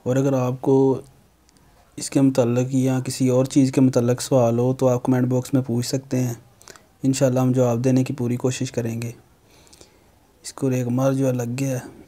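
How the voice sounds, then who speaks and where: A young man hosts a how-to tutorial. His mid-pitched voice stays very even in tone as he explains each step plainly, like an instructor. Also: moderate pace. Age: young adult. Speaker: how-to video host.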